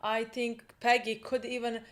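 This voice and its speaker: A woman's voice: fairly high pitch, flat delivery, measured pace, a bit bright. A young woman whose first language is Serbian, co-hosting a TV reaction and hesitantly searching for the right words. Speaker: reaction video co-host. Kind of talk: casual chat.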